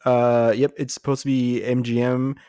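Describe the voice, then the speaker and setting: A man with a mid-pitched voice chats casually as a podcast co-host. He tells things in a jokey way, with little exclamations along the way. The sound is dry, with hardly any room on it. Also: little pitch movement, normal speed, warm tone, clear, resonant tone, no echo. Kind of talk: casual film and TV news chat.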